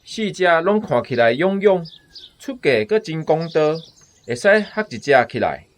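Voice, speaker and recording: A man's voice: fairly high pitch, sing-song, normal speed. An actor with a Taiwanese accent playing a friendly, persuasive male farm villager in a radio drama. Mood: encouraging.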